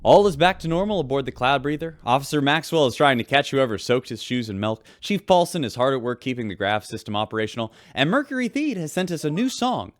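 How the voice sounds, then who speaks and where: A man's voice: medium pitch, big pitch swings, quick pace, few pauses, dry recording, close to an everyday voice. A man playing a wry, chatty radio host aboard a spaceship, reading news in a scripted sci-fi audio drama. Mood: wry, upbeat.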